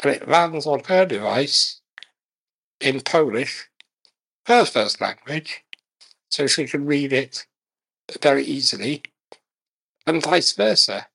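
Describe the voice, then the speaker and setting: A man speaking as a guest in an interview, measured and unhurried, speaking in short phrases with pauses. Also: mid-range voice, animated, gravelly, fairly bright tone, breathy edge, rough recording. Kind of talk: conversational interview.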